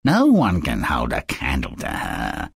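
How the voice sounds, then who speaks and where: A man's voice: extremely low pitch, wildly swooping pitch, unhurried, creaky, slightly rough, no room sound, studio quality, exaggerated voice. An actor playing a boastful, fawning peasant father in a fairy-tale video game, eager and obsequious. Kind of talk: theatrical video game acting.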